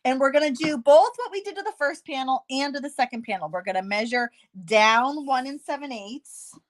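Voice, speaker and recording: A woman hosting a casual card-making tutorial, chatty and self-deprecating, slightly hoarse with a stuffy nose. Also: high-pitched, brisk.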